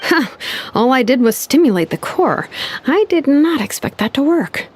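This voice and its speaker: A woman voicing a wry young engineer who muses aloud in an animated way. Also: high-pitched, moderate pace, husky, full character voice.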